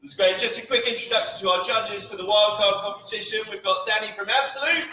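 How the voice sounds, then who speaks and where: A man's voice: high-pitched, fast talker, pushed and bright. A man hosting a live cocktail competition, chatty and jokey, with many filler sounds. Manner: chatty, lots of "uh" fillers, jokey asides to the crowd. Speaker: event host. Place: large indoor event venue. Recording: echoey venue, distant mic, faint noise.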